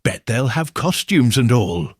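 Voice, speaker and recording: A man's voice: medium pitch, very animated, measured pace, dry recording, studio quality. A man voicing an eager, gossipy sixteen-year-old village boy in an audiobook, using colloquial slang. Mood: excited. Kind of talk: audiobook character voice.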